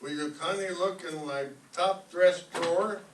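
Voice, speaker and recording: A man's voice: sits high, a little slow. Guy doing curt bossy school principal, easily rattled, old radio comedy, echoey distant mic, bit of hiss. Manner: officious, curt, exasperated. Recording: echoey room, distant microphone, faint noise.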